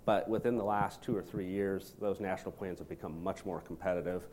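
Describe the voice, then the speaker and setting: A man in the audience giving a measured comment, explaining market changes with frequent pauses. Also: low voice, fairly even intonation, darkish tone, slight background noise. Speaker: audience member. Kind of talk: commenting in a discussion.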